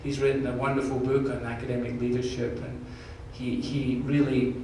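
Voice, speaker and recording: A man's voice: medium pitch, little pitch movement, moderate pace, a little mellow. Scottish man speaking in an echoey hall. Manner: earnest, jokey, repeats words when restarting. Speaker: university president candidate. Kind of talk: answering questions at an open forum. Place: large echoey room from far off. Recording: echoey room, distant mic, background noise.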